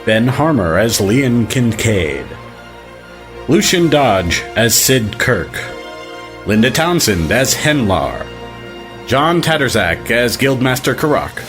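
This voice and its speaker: A man's voice: medium pitch, sing-song, slow pace, music in the background. A man announcing the credits of an audio drama in a scripted style.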